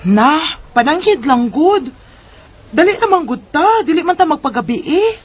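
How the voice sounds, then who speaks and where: A woman's voice: high voice, very animated, moderate pace. A woman playing an eager, persuasive schoolgirl friend in a Filipino Bisaya radio drama. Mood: eager, coaxing.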